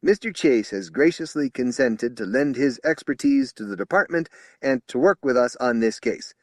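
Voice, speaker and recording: A man's voice: mid-range voice, lively intonation, normal speed, dry recording, studio quality, voice pushed up, lightly acted. A man voicing a flashy, balding male police spokesman who barks orders at a crowd of officers. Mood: official. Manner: shouting, commanding.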